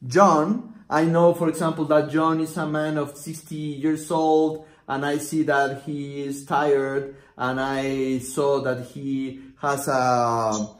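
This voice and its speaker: A man in his forties, a native Spanish speaker, explaining a consulting method at a whiteboard with many pauses and restarts. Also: fairly high pitch, flat delivery. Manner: halting, many fillers and restarts, acts out client dialogue. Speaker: systemic consultant teaching a method. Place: small room with whiteboard, indoors. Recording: echoey room.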